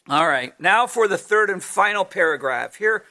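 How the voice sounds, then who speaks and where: A man preaching a sermon at a measured pace, his voice going hoarse, clearing his throat now and then. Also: high-pitched, bright, forceful tone. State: losing his voice. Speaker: pastor.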